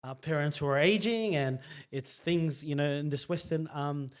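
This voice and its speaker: A man with a fairly high voice hosts with eager, rambling energy, chuckling as he goes. His long sentences wander and circle back before landing on the point. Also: moderate pace, smooth. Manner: enthusiastic, rambling, laughs between phrases. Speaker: podcast host. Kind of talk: casual show hosting.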